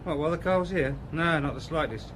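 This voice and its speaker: A man's voice: fairly high pitch, fast talker, noisy background, natural voice. An actor playing an offhand, talkative local man in a radio play, speaking colloquially and with dry humour. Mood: dismissive. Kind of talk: radio drama acting.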